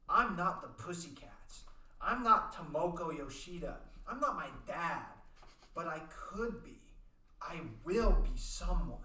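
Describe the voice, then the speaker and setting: A man's voice: fairly high pitch, sharp tone. A man as an earnest teenage boy, distant and roomy. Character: earnest, pushy teenage boy, musical dreamer. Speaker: amateur radio play actor. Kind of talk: amateur radio play reading.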